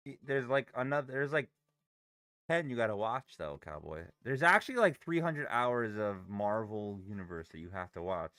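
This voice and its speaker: A man whose voice is going hoarse, chatting casually with viewers on a live trading stream. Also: on the low side, sing-song, normal speed, sharp tone, no echo, clean studio sound. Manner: chatty, banters with chat while reading charts. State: hoarse, losing his voice.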